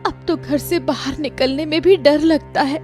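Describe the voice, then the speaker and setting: A woman's voice: sits high, fairly even intonation, measured pace, a little mellow, faint music behind, pitched above the performer's own voice. A woman voicing a frightened but defiant young daughter in a cartoon story, pleading and indignant. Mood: tearful, distressed. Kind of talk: dramatized Hindi cartoon story.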